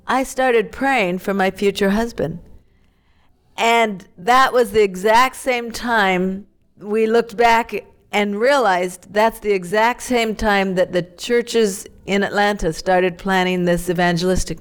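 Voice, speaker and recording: A woman's voice: mid-range voice, clean tone. A woman who grew up in Tanzania, Ethiopia and Pakistan, telling her personal story earnestly and reflectively as a missionary guest in an interview.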